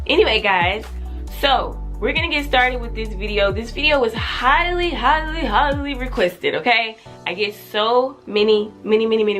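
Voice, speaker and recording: A woman's voice: mid-range voice, fairly bright tone. A young woman chatting to her viewers with a slightly stuffy, congested nose. She talks in an easygoing, repetitive way and stresses words by saying them again. Faint music plays behind her. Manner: chatty, repeats words for emphasis. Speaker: channel host. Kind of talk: casual vlog chat.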